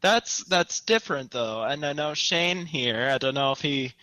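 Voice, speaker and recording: Bright-voiced man in casual discussion. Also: fairly high pitch, expressive, unhurried, no echo. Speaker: podcast co-host. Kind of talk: casual panel discussion about online games.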